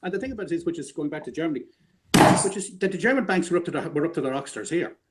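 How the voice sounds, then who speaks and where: An Irish man talking fast on a late-night panel show, often restarting his sentences. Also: on the high side, lively intonation, very noisy. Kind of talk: casual panel discussion.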